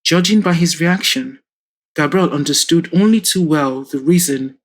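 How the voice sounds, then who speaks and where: A woman narrating an audio drama in a measured, even storytelling manner. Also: low voice.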